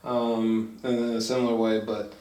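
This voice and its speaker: A man's voice: lowish voice, flat delivery, dark tone. Echoey, rambling man reviewing movies. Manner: rambling, halting, profane. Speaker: film review host. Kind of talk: casual movie review chat. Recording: roomy echo, distant from mic.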